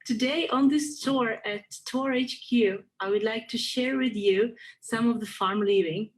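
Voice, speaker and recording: A woman tour guide speaking in a friendly, smiling way in a promotional video, with a breathy voice. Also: a little high, measured pace.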